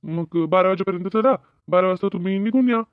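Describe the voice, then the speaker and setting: A man's voice: high voice, brisk, smooth, dry recording, natural voice. A man playing a bossy, practical farmer husband in a comedy cartoon, insistent and colloquial, with a rural Maharashtrian accent. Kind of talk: animated comedy cartoon dialogue. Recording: narrow phone-like band, dry.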